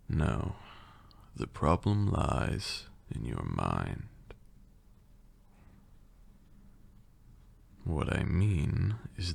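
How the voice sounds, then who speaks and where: A man playing a commanding vampire lord and magic mentor in a roleplay ASMR, with a gravelly voice and a deliberate, dryly sarcastic manner. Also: darkish tone, full character voice. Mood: stern, instructive. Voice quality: gravelly, very deep, breathy at times. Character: stern, teasing old male vampire lord, mentor in magic.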